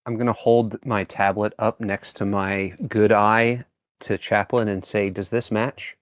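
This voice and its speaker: A man's voice: on the low side, flat delivery, a little slow, dry recording, clean studio sound. A man playing a tabletop roleplaying game, casually describing what his character does.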